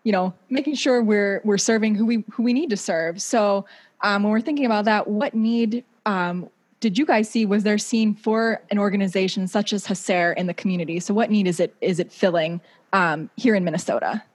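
A woman's voice: mid-range voice, normal speed, a little mellow. A woman hosting a podcast interview in a conversational style over a video call. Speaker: podcast host.

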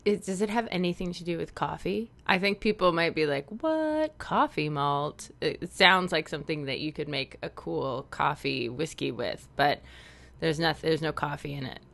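A woman's voice: low-pitched, animated, moderate pace, creaky, a bit bright. A Canadian woman co-hosting a podcast, chatting casually with lots of "like" and restarts. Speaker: podcast co-host. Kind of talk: casual chat.

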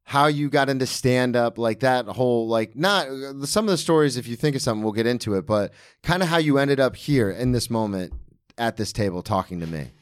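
A man's voice: medium pitch, lively intonation, a little fast, clean tone, dry recording, studio quality. A young man hosting a casual comedy podcast, talking loosely with lots of fillers and restarts. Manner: loose, rambling, filler-heavy. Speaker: podcast host. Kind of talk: casual interview chat. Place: small studio at a table.

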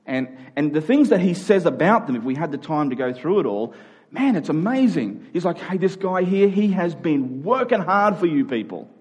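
A man's voice: a little high, very animated, normal speed, a little mellow, breathy edge. Man preaching an earnest, conversational sermon. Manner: earnest, conversational, informal asides to listeners. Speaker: pastor. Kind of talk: sermon to a congregation, with prayer.

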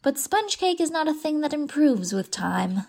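Woman doing a fanciful middle-aged lady in a storybook, kinda high and creaky, soft music behind. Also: big pitch swings, moderate pace, exaggerated voice. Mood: warm. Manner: fond, playful, pretends freely. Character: whimsical independent woman of forty-five, playful. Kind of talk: audiobook character reading.

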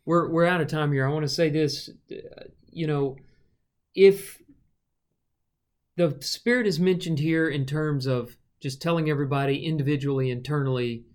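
A man's voice: on the high side, moderate pace. A man co-hosting a Bible study discussion, reasoning through the text and posing rhetorical questions. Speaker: Bible study podcast co-host.

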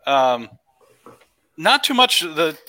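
A male pastor co-hosting the show, with a very sharp, bright edge to his voice. He speaks in a friendly way and often stops and restarts his sentences. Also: fairly high pitch, big pitch swings. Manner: halting, friendly. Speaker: pastor, co-host. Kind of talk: casual chat.

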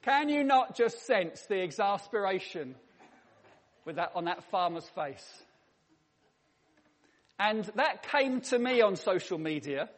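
High-voiced preacher in a reverberant church. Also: male voice, very animated, slow pace, pauses often. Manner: humorous anecdotal storytelling. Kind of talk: sermon. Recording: long reverb in a church hall.

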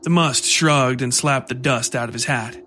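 A man's voice: mid-range voice, moderate pace, pushed and bright, slight background noise. A man reading scripted horror fiction as narrator, with music playing under his voice.

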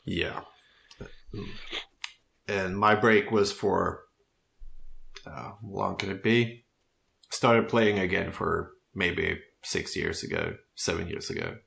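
A man's voice: low-pitched, very animated, slow pace, vocal fry, pauses often, a bit bright. A man in his thirties with a Swedish accent, a native Swedish speaker, chatting casually and thinking aloud as he talks about himself. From Sweden. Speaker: podcast speaker telling his background. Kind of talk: casual conversation.

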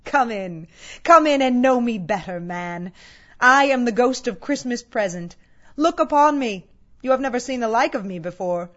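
A dull, slightly lo-fi recording carries a loud, hearty giant spirit played by a woman. The jolly storybook figure declaims grandly and with command, pushing the voice up and out. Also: fairly high pitch, lively intonation, moderate pace, pitched above the performer's own voice.

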